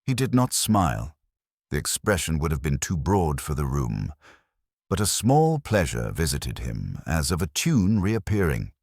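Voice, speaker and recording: A man narrating a literary detective story in a measured, dry and formal manner, as for an audiobook. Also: extremely low pitch, huge pitch swings, a little mellow, no room sound.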